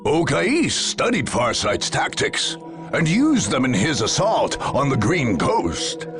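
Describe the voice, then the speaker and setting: A grave male chronicler narrates a war saga over clear background music. His delivery is slow and theatrical, with a gravelly edge and dramatic rises and falls suited to a game cutscene. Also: medium pitch, wildly swooping pitch, full character voice. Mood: grave, proud. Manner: solemn, measured, theatrical.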